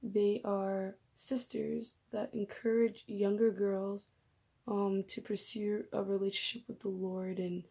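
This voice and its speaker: Young woman with a raspy, gravelly voice. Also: medium pitch, level tone, slow pace, mellow. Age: young adult. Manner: chatty, rambling, laughs at herself. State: coming down with a cold. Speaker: vlogger. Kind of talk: casual faith vlog. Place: bedroom.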